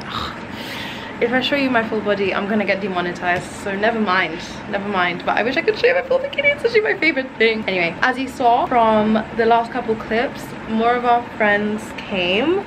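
A woman's voice: mid-range voice, background noise. A young woman in her twenties chatting casually to her camera as a vlogger, upbeat and self-mocking. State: hoarse, voice not back. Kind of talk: casual vlog chat to camera. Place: hotel bedroom. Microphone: handheld camera close to face.

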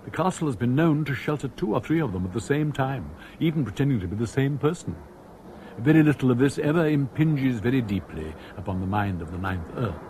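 A man's voice: medium pitch, lively intonation, fairly quick, dark tone, close to an everyday voice. A man with an English accent giving wry scripted narration for a radio comedy. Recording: slightly noisy old radio recording.

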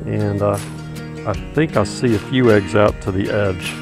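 A man's voice: fairly low pitch, unhurried. A man, a beekeeper talking casually and folksily to the camera while he works outdoors. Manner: easygoing, folksy, thinking aloud with fillers. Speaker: beekeeper vlogger. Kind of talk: casual narration while working. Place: outdoors in a wooded bee yard. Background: music and buzzing bees.

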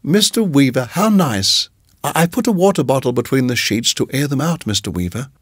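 A man's voice: medium pitch, lively intonation, a little fast, breathy edge, no echo, clean studio sound. A man voicing a sweetly unsettling middle-aged landlady who talks in a cooing, fussy, overly pleasant way. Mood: cooing sweetness.

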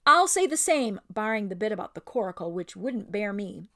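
A woman voicing a blunt, hearty male sailor in a storybook, loudly game for adventure. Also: medium pitch, steady tone, brisk, close to an everyday voice.

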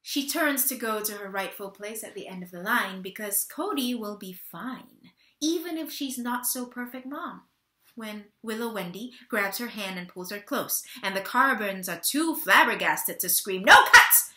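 A woman's voice: medium pitch, animated, a little slow, sharp tone, reverberant. A woman in her thirties giving a theatrical scripted reading, with dramatic, emphatic storytelling, recorded at home on a webcam. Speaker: actor giving a staged reading. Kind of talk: theatrical scripted narration of a stage play. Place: small bare-walled room at home.

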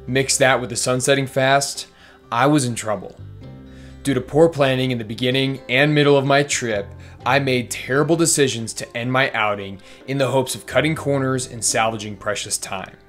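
A man's voice: mid-range voice, moderate pace, a bit bright, soft background music. A young man narrating a vlog in voice-over, candid and self-deprecating as he looks back on his mistakes. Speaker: fishing vlogger.

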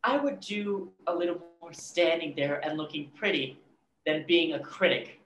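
A man's voice: fairly high pitch, normal speed, fairly bright tone, faint music behind. A young man playing a touchy, egotistical artist in a staged play reading, conversational and defensive. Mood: controlling, offended. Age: young adult. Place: bare painted-wall room, video call. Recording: echoey room with background hiss.

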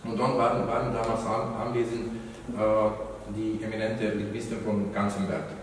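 A middle-aged man, Romani his mother tongue, speaks haltingly and searches for German words. His voice sounds far from the mic, and the hall's reverb hangs over each phrase. A faint room hum sits under it. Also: fairly low pitch, level tone. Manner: hesitant, many fillers, searching for German words. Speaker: event moderator. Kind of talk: opening remarks at a lecture event. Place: event hall, indoors. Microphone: far room microphone. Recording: echoey hall, distant mic.

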